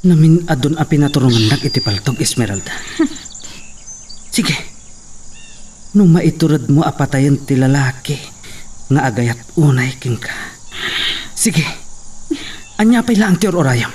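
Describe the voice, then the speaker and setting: A man's voice: on the high side, animated, a little slow, pauses often, darkish tone, slightly rough. A man with an Ilocano accent playing a scheming, guilt-ridden man in a radio drama, intense and theatrical. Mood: defiant. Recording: slightly distant mic, faint insect noise.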